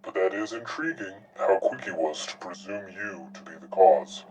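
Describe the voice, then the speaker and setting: A man playing a calm, analytical doctor in an audio drama, speaking with deliberate, formal, clinical phrasing. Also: sits low, a little hiss or hum, natural voice. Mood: probing, intrigued. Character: male doctor, calm, probing, analytical scholar of strange phenomena. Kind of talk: scripted audio drama dialogue. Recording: distant mic with music behind.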